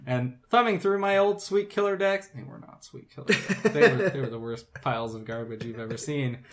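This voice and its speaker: A man's voice: medium pitch, fairly quick. A man co-hosting a podcast in casual chat, blunt and joking with loose, sweary language. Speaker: podcast co-host.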